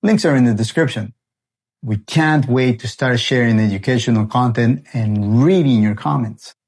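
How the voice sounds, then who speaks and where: A man in his forties, born in El Salvador, introduces his renovation business in a steady, earnest voice. His tone is husky and a little rough, at an everyday mid pitch. He comes across friendly and sincere. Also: moderate pace. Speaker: renovation company founder. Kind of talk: scripted channel introduction.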